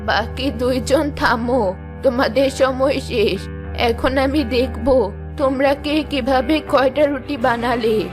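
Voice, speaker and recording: A woman's voice: high-pitched, slow pace, over music. A woman playing a commanding, firm mother-in-law who runs her household in a fairy-tale cartoon. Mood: instructing. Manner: bossy, declarative, firm.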